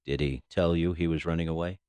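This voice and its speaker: An actress playing a stern, businesslike FBI agent in an audio drama, questioning in a formal, clipped manner. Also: female voice, a bass voice, steady tone, fast, dark tone, clean tone, dry recording. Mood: probing, official.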